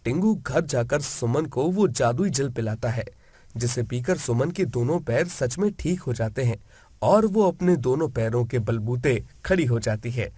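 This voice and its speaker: A man's voice: mid-range voice, darkish tone, dry recording. A man reading scripted narration for a children's moral story.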